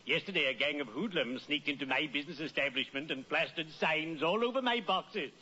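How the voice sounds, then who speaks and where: An actor playing a genteel, morbidly cheerful undertaker with formal speech, in a 1940s radio comedy style. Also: male voice, on the high side, expressive, fairly quick, bright, forceful tone, husky, full character voice. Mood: morbidly genial. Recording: muffled, noisy old radio recording.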